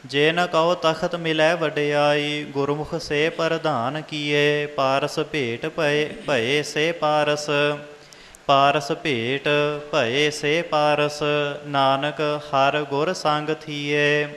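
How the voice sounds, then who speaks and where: A man reciting Sikh scripture in a measured, sing-song devotional chant. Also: on the high side, little pitch movement, a bit bright. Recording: long hall reverb, music behind.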